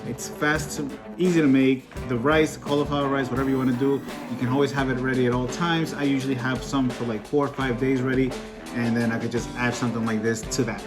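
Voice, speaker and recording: A man from Peru talks viewers through a home recipe in a chatty, upbeat tone. He has an easy, mid-pitched voice and drops in small self-deprecating jokes, with guitar music playing clearly behind him. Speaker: cooking vlogger. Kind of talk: casual cooking tutorial.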